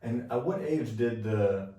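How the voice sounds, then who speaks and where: A man's voice: medium pitch, animated, unhurried, dark tone. A man hosting a casual interview podcast, chatting with his guest. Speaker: podcast host. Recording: echoey room, distant from the mic.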